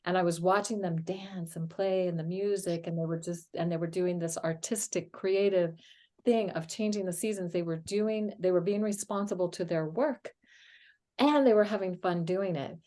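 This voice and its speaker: Lower-voiced woman host, laughing easily. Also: normal speed. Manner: warm and laughing, with many uh fillers. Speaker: astrology podcast host. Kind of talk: casual conversation.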